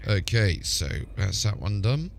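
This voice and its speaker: A man's voice: lowish voice, expressive, unhurried, creaky, soft background music. A man chatting casually on a livestream as he plays. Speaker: gaming streamer.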